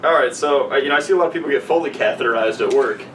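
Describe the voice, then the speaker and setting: A man hosting a podcast, bantering casually with friends in a profane, excitable, halting way. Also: mid-range voice, distant mic. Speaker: podcast host. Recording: roomy, off-mic, noisy background.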